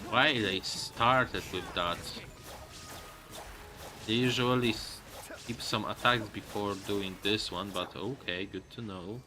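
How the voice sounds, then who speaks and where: A man's voice: fairly low pitch, slow. A man giving casual live commentary on a game, musing aloud to himself as he plays, with game music behind him. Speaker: game streamer.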